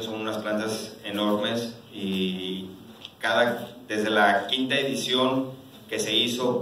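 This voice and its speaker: A man's voice: medium pitch, a bit bright. Mexican man in a very echoey hall. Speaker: orchid association president. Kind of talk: informal press conference presentation. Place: auditorium stage, indoors. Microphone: handheld mic, recorded by distant camera. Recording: echoey hall, distant camera, background noise.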